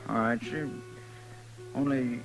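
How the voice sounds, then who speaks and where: A man's voice: mid-range voice, steady tone, takes it slowly, music in the background, poor audio. A man with a rural American accent playing a simple, earnest, deferential deputy in a 1950s radio western. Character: simple, folksy, deferential male marshal's deputy sidekick.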